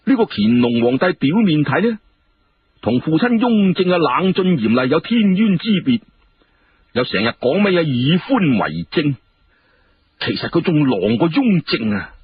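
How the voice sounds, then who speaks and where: Expressive husky male storyteller, Hong Kong Cantonese. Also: on the high side, unhurried, highs cut off. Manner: colloquial, expressive, with exclamations. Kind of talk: dramatic Cantonese storytelling narration.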